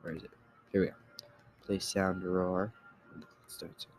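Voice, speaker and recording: A man giving a casual screen-recorded software tutorial, halting as he thinks aloud while he works. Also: low-pitched, little pitch movement, slight background noise. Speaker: tutorial creator.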